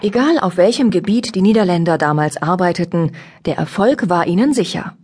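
A woman narrating a scripted children's audiobook in a measured, explanatory way. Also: lowish voice, expressive, darkish tone, breathy edge.

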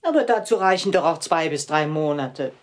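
An actress playing an old wardrobe maid in an audio drama, devoted and curious, pleading and insistent. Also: female voice, low voice, expressive, moderate pace. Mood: puzzled. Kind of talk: German audio drama acting.

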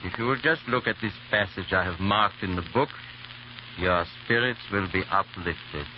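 Man playing a low-voiced, secretive hero. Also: lightly acted. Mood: urgent, secretive. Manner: measured, guarded, understated. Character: calm, secretive hero disguised as a priest. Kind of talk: old-time radio drama acting. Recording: muffled vintage radio recording, loud hiss.

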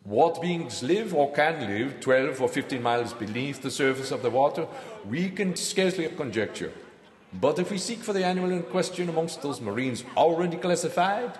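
A man's voice: a little high, animated, normal speed, lightly acted. A man playing a pompous, formal professor delivering a public lecture in an audio drama. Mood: assured. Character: male professor proclaiming his sea-monster theory to assembly. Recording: echoing large hall reverb.

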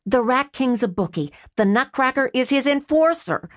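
A whiny, feckless grown man in an audiobook, pitched high and squeaky as he pleads and squeals, with a woman reading him. His words come in nervous little bursts, and the sound is close and dry. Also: expressive, moderate pace, no room sound. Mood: wheedling.

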